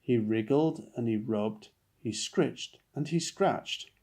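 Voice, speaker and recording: A man narrating a children's picture book as a dad reading aloud, with expressive, deliberate phrasing. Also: mid-range voice.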